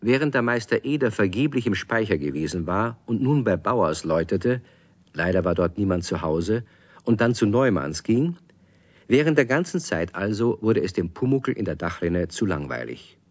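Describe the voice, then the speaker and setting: A man's voice: fairly low pitch, very animated, moderate pace. A man narrating a children's radio play as a scripted storyteller. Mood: storytelling.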